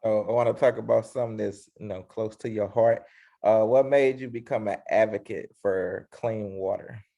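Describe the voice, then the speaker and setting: Male host, gravelly voice, hype intro, laid-back questions. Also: on the low side, moderate pace, highs cut off. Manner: hype, boastful self-introduction then relaxed questions. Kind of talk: casual interview hosting.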